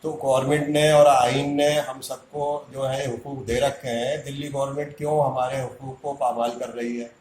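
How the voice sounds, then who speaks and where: A man speaks out as a community activist, indignant and argumentative, pressing his case with one rhetorical question after another. His voice sits at an ordinary middle pitch and rings off the walls of an echoey room. A faint ambient hum runs beneath him. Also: a little mellow. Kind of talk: impassioned public statement.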